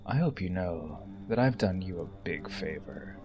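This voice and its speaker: A man acting a coldly taunting, possessively fond rebel with hushed, deliberate menace in an audio drama. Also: deep voice, animated, over music. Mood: persuasive, cold.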